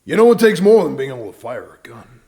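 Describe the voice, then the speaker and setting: An actor playing a stern but fatherly, world-weary sheriff in a western audio drama, with an American Southern drawl and a deliberate, folksy manner. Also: sing-song. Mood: fatherly, firm. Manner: deliberate, folksy, swinging from hushed to booming.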